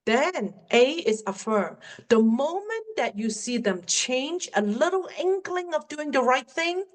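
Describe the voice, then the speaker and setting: A woman's voice: sits high, big pitch swings, a little slow. Cantonese-accented woman coaching a webinar. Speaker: workplace communication coach. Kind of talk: webinar Q&A teaching.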